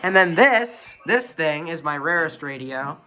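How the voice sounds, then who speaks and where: A man with a slightly high, bright and forward voice talks through a casual show-and-tell. He sounds like an enthusiastic collector walking viewers through his hobby. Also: lively intonation, measured pace, faint music behind. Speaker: collector giving a tour of weather radios.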